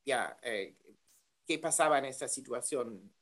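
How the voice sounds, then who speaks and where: Slow, halting male interviewer. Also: mid-range voice, animated, fairly bright tone. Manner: hesitant, halting phrasing with many fillers. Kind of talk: formal interview questions.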